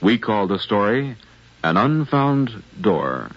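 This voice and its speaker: A man giving formal, deliberate scripted narration as a 1950s radio announcer. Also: fairly low pitch, sing-song. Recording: dull 1950s radio recording.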